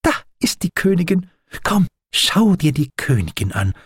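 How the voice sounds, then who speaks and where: A man voicing an eccentric, excitable naval doctor in an audiobook, with an eager, enthusiastic manner. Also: mid-range voice, normal speed, slightly rough, no room sound, studio quality. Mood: blithe, excited.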